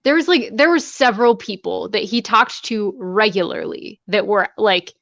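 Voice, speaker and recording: Woman, medium pitch, casual chat, informal, halting, slightly dull livestream audio. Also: gravelly, fairly bright tone, dry recording. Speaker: livestream co-host discussing a book. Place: indoors, livestream call.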